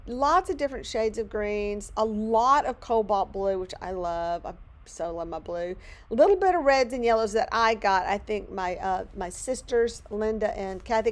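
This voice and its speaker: A middle-aged woman talks through her craft project in a loose, rambling way, poking fun at herself as she goes. Her voice is hoarse and worn, close to giving out. She sounds like she's chatting with friends from a cluttered home studio. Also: mid-range voice, a little mellow. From Louisiana. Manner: chatty, rambling, self-deprecating. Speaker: craft channel host. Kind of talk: casual crafting narration.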